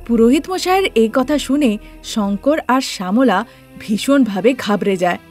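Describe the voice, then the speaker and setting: A woman storyteller with a fairly high voice reads a scripted cartoon tale. Music plays under her narration. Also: lively intonation, normal speed, a little mellow.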